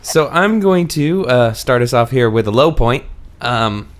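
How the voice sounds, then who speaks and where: A man's voice: medium pitch, animated, a little slow. A man hosting a casual book discussion in a wry, conversational way. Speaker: podcast host.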